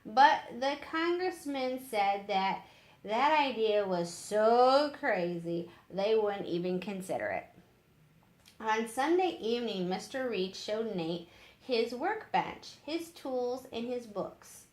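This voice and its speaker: Lady reading a book out loud, really slow n stumbly, chatty asides, echoey room. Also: fairly high pitch, expressive. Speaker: storyteller reading aloud. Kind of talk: read-aloud story time with casual asides.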